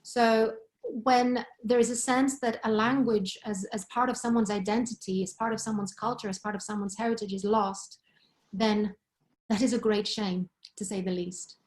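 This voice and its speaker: A woman in her thirties, a guest expert, speaking in a measured way from her living room on a video call, thinking aloud with frequent "you know"s. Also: medium pitch, fairly even intonation, darkish tone. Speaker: guest expert on language and culture. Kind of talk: webinar discussion. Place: living room at home, indoors. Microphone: laptop webcam on a video call.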